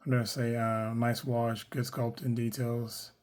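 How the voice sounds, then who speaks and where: A man with a hoarse, worn voice, chatting casually through a toy unboxing, rambling with lots of fillers and self-corrections. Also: mid-range voice, flat delivery, measured pace, a little mellow. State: hoarse, losing his voice. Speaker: toy collector reviewing action figures.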